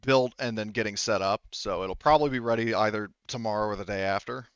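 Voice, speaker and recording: A man with a lowish, smooth voice narrates a tabletop game as its game master. He feels his way through sentences in a rambling, improvised manner. The sound is dry and slightly dull. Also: quick pace, no echo. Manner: hesitant, rambling, improvised phrasing.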